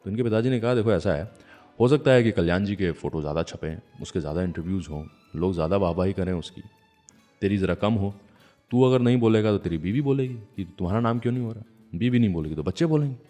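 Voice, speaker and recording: A man's voice: on the low side, expressive, fast talker, mellow, long reverb tail. A man hosting a radio show, telling stories in a conversational way. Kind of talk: conversational storytelling radio presentation. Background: music.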